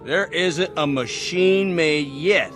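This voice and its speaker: A man's voice: on the high side, slow pace, a bit bright, soft background music. An actor playing a drunk, bitter, defiant working man in a radio drama, rambling and belligerent.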